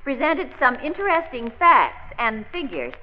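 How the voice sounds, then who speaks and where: Prim, lilting club secretary. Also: female voice, high voice, very animated, measured pace. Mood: prim, official. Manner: formal, proper, official. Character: prim, formal female club secretary reading meeting minutes. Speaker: meeting chair. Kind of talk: 1940s radio drama acting. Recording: muffled, noisy old radio recording.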